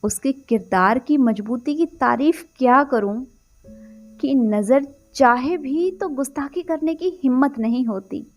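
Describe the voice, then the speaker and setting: Woman voicing an earnest young groom-to-be, high voice. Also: slow pace. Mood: happy, earnest. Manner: sweet, earnest, reassuring. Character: devoted young man soon to marry, earnest friend.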